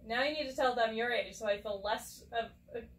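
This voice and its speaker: A woman's voice: on the high side, a little fast. A woman in her thirties, co-hosting a casual podcast chat, talking earnestly and reflectively and laughing easily. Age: 30s. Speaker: podcast co-host. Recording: roomy and distant with faint background noise.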